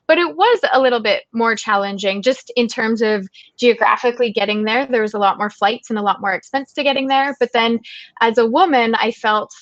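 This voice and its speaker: A woman's voice: on the high side, fairly bright tone, no room sound. A young woman with a Canadian accent, answering webinar interview questions in an earnest, encouraging way and sometimes repeating words as she thinks. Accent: Canadian English. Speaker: guest speaker, travel writer. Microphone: video call. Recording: dull lo-fi call audio, dry.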